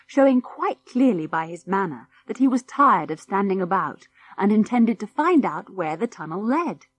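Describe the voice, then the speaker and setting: A British woman reading a children's story as an audiobook narrator, with dramatic, clearly articulated delivery. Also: mid-range voice.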